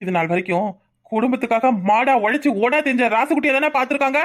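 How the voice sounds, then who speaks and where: A man's voice: extremely high pitch, animated, fast, a bit bright. A man playing a hardworking, resolute village husband in a family drama, firm and declamatory. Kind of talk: Tamil family drama dialogue.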